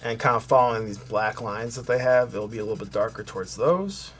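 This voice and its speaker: Soft-spoken man talking through his coloring. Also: lowish voice, brisk, a little hiss or hum. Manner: relaxed, thinking aloud while working, with fillers and self-corrections; soft-spoken, hoping to sound calming. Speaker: art tutorial host. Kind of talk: casual coloring tutorial narration.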